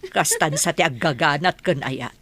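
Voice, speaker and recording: A woman's voice: deep voice, moderate pace, fairly bright tone. A woman playing a plain-spoken, gossipy older village mother in an Ilocano radio drama. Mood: motherly, disapproving.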